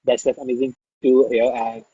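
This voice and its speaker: A man's voice: medium pitch, little pitch movement, moderate pace, warm tone, slightly rough, dry recording, lo-fi sound. A man hosting a live online interview, enthusiastic and full of fillers, who keeps calling things amazing. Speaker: interview host.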